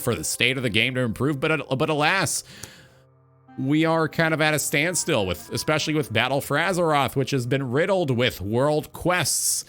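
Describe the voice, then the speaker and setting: A man's voice: mid-range voice, pushed and bright. A man hosting a gaming talk show, delivering an opinionated monologue in an emphatic, blunt, sweary way.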